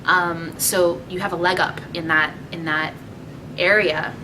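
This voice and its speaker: Brief: low-voiced woman career advisor, distant mic, clear noise behind. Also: fairly even intonation, unhurried. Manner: clear, list-like advice with fillers.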